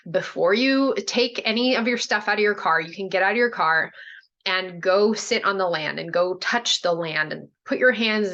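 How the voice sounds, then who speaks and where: A woman in a shaman and spiritual mentor role, teaching a group over a video call in a casual, animated way, with many "like" and "right?" asides. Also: on the low side.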